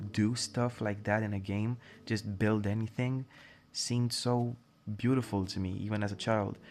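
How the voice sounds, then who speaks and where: A young man speaking non-native English with British leanings, rambling through a casual solo monologue full of asides and self-corrections. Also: fairly low pitch. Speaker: podcast host.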